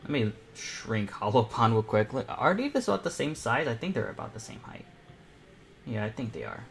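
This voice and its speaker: A man's voice: mid-range voice, big pitch swings, normal speed. A young man hosting an online art panel, chatting casually and thinking aloud as he draws. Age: teens or young adult. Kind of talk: casual chat while drawing. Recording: clear background noise, room ambience.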